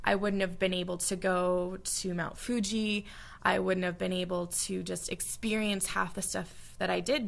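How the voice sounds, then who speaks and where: A woman's voice: medium pitch, gravelly, fairly bright tone. A young woman from the United States, a study abroad alumna, giving earnest, heartfelt reflections in an interview, with frequent fillers. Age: young adult.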